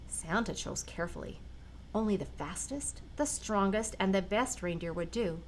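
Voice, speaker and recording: An older woman reads a children's storybook aloud in a gentle, expressive way. Her voice sits at a middling pitch. A faint hiss runs under the recording. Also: poor audio. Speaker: storyteller grandmother. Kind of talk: reading a picture book aloud.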